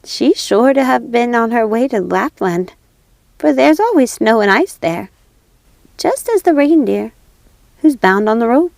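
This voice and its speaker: A woman voicing a small wood pigeon in a storybook, earnest and lilting, with drawn-out sounds. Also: a little high, big pitch swings, vocal fry, darkish tone, no room sound, pitched above the performer's own voice, full character voice. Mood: earnest, informing. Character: cooing wood pigeons, small birds earnestly telling news. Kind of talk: storybook character voice.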